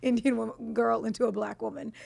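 A woman in her thirties with a thick Trinidadian Brooklyn accent. She has a strongly gravelly, fry-laden voice and tells her story in a lively, candid way. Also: fairly high pitch, a little fast. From Brooklyn, New York. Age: 30s. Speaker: guest. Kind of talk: casual chat.

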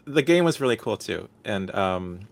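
A man hosting a casual question and answer session, halting and repeating words as he forms questions. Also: on the low side, sing-song, vocal fry, background noise. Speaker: panel moderator.

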